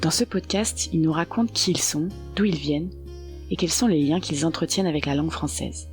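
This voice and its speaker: A podcast host reading a scripted introduction in a measured, even way. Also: mid-range voice. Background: soft guitar music.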